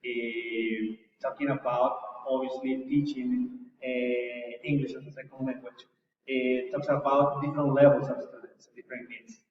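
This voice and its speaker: A man's voice: mid-range voice, level tone, slight background noise. Man interviewing in an echoey hall. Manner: upbeat, halting, many fillers. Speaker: interviewer, teacher. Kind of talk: conference interview. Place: conference venue. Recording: echoey hall, distant mic, muffled.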